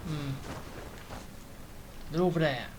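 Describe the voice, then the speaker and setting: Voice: mid-range voice, slow pace, noisy background. A gaming streamer giving casual commentary, thinking aloud in short muttered phrases while playing. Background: game music.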